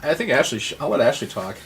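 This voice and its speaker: A man's voice: medium pitch, sing-song, quick pace, fairly bright tone, breathy edge, rough recording. An American man giving conversational answers as an interview guest on a podcast. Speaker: podcast guest, nonprofit founder.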